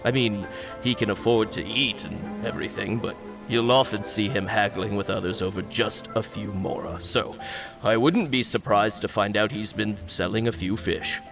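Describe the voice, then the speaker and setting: A man's voice: lowish voice, moderate pace, creaky, over music. A man voicing a nervous, defensive adult fishmonger at a wharf in a video game, stammering as he explains himself. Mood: explaining.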